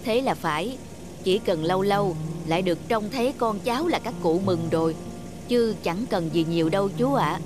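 A man voicing a big, kindly, middle-aged driver in a cartoon, easygoing and unhurried. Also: high voice, lively intonation, background noise. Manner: genial, unhurried. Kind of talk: cartoon voice acting.

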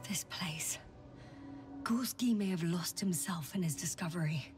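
An actress playing a composed, wry woman scientist exploring a planet in a video game, speaking in a measured, dry way. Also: on the low side, music in the background, lightly acted. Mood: awed, thoughtful.

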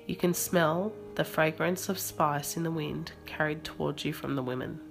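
A woman reading a scripted guided meditation in a measured, soothing manner. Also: deep voice, steady tone, gravelly. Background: soft music.

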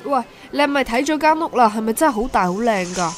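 Brash young woman played by an actress, Hong Kong Cantonese, radio drama; playful, exclamatory, slightly high-pitched. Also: expressive, quick pace, faint music behind, close to an everyday voice. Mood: curious. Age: young adult. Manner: cheeky, exclamatory.

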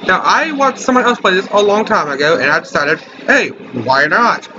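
A man's voice: sits high, lively intonation, moderate pace, noisy background. A young man hosting a casual let's play in his bedroom, joking and reading game text aloud. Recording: lo-fi webcam audio with game music.

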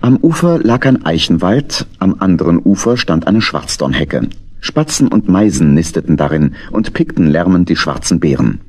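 A man's voice: low voice, sing-song, moderate pace, a little mellow. A man narrating a children's radio play in a scripted storytelling style.

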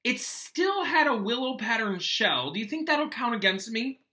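Storybook exam-worried student acted by a man, pitched up and bright, fretful, drawing out the words. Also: sing-song, normal speed, full character voice. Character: fretting teenage wizard students after an exam.

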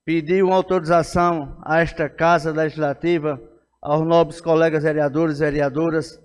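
A man's voice: fairly high pitch, clean tone. A man in his forties with a Northeastern Brazilian accent, giving an emphatic, insistent political speech as a city councillor at a podium microphone. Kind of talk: political speech in a plenary session. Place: indoor council chamber at a lectern. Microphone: gooseneck podium microphone. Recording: echoey hall with long reverb.

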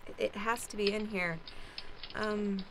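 A woman's voice: medium pitch, steady tone, measured pace, close to an everyday voice. A woman playing a nervous young girl snooping around, hesitantly talking to herself in an improvised radio play. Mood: exasperated searching. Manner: hesitant self-narration, muttering. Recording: loud background noise.

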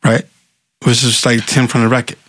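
A man's voice: mid-range voice, level tone, normal speed, fairly bright tone, no echo. A man in his thirties from Philadelphia, a politician guest talking casually and emphatically, often saying "right?" and "facts". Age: 30s. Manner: emphatic, repeats words and says "right?" and "facts". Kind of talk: casual interview talk. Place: small studio with brick wall. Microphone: desk mic on boom arm.

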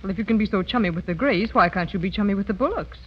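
A woman's voice: medium pitch, rapid-fire, husky, lightly acted. Woman playing a haughty heiress on muffled old radio. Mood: cool, needling. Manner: cool, needling, self-assured. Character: haughty, spoiled, scheming young society woman. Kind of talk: 1930s radio comedy acting. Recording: muffled vintage radio broadcast.

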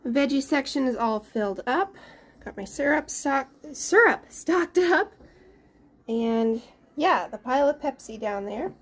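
Young mom, slightly high voice, chatty home vlog on a handheld camera. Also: female voice, animated, a little slow, husky. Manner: chatty, loses words, trails off. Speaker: mother of a large family, vlogger. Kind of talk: casual grocery haul walkthrough. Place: indoors at home, dining room and pantry. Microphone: handheld camera held at chest height.

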